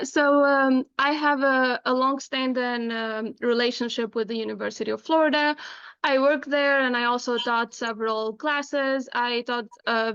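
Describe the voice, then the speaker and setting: A woman's voice: fairly high pitch, creaky, fairly bright tone, clean tone, dry recording, lo-fi sound. A woman from Tunisia, giving an informal online presentation as a guest speaker. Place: indoors, online video call.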